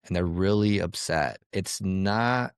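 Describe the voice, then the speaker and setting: A man's voice: low voice, slow pace, smooth, no room sound, studio quality. A man hosting a podcast interview, speaking in an emphatic, advising way. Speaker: podcast host.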